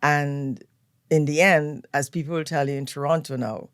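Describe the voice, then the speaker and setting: A woman's voice: low voice, steady tone, normal speed, clear, resonant tone, no room sound. Older Trinidadian woman, dry studio voice. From Trinidad and Tobago. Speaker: guest, event planner. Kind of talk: relaxed storytelling interview. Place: radio studio.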